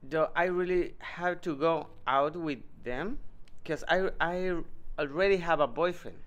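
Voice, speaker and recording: Clear, highish naive young man acted. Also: unhurried, highs cut off, natural voice. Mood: hesitant. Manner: hesitant, simple, earnest. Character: naive, sincere, reluctant young male dating show contestant.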